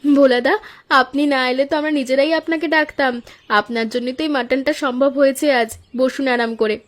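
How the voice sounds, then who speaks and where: A woman's voice: high-pitched, steady tone, fairly quick, close to an everyday voice. A woman playing a gracious young daughter-in-law, the elder sister in a cartoon story, earnest and polite. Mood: grateful.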